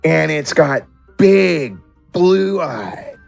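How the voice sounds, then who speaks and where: A man's voice: fairly high pitch, expressive, drawn-out words, vocal fry, faint music behind. A man running a tabletop game as game master, narrating in a conversational, descriptive way.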